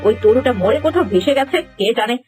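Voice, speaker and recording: Woman playing a cruel, high-voiced cartoon stepmother. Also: expressive, fast talker, over music, lightly acted. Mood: uneasy, muttering. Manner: scolding, muttering to herself. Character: cruel, scheming stepmother, merciless and gloating. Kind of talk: cartoon fairy-tale voice acting.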